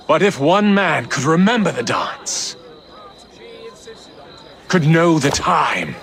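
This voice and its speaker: Slow, theatrical Victorian villain. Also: male voice, fairly high pitch, creaky, noisy background, full character voice. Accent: British. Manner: theatrical, deliberate, ominous. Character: menacing, suave Victorian villain, courtly and philosophical. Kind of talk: dramatic video game cutscene acting. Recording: music behind the voice.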